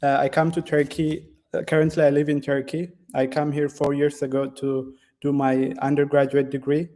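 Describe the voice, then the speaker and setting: A young man from Ethiopia in his twenties, a guest developer, answering interview questions in a classroom with halting phrasing, many fillers and restarts. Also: a little high, level tone, dark tone. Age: 20s.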